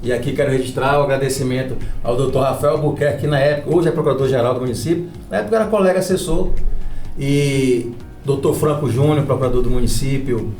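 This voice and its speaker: Man, rapid talkative podcast host, roomy echo, faint music behind. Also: medium pitch, a little mellow. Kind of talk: casual podcast conversation with anecdotes.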